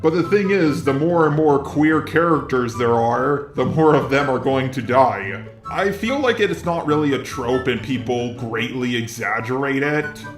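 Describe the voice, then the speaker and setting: A man's voice: mid-range voice, lively intonation, a little slow, reverberant, faint music behind. A man delivering scripted video commentary as a narrator.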